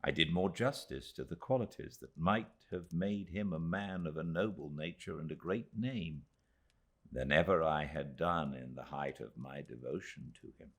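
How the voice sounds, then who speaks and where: A British man reading a literary audiobook in measured, dramatic phrases with deliberate pauses. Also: very deep voice. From Britain. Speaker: audiobook narrator.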